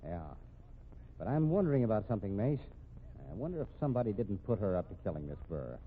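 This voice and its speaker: An actor in a 1950s radio mystery playing a wry, weary police captain with a dry, firm, slangy way of talking. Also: male voice, lowish voice, sing-song, quick pace, breathy edge. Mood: musing. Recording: tinny band-limited old radio recording.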